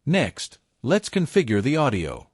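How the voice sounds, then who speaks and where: A man reading a scripted technical tutorial step by step in a measured, methodical way. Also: medium pitch, wildly swooping pitch, slightly rough, no echo, studio quality. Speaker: tutorial presenter.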